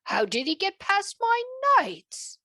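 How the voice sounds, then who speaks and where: Woman voicing a slow-spoken, kindly British king. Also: very high voice, big pitch swings, no echo, studio quality, voice pushed up, full character voice. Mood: thoughtful, amused. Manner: soft, measured, regal speech. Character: warm, kindly King Arthur, adult man, amused and regal.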